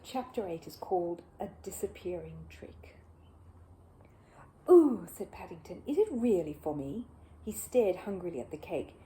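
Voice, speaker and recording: A woman reading a children's book aloud as an expressive storyteller. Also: mid-range voice, slight background noise. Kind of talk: expressive storybook narration with a casual greeting.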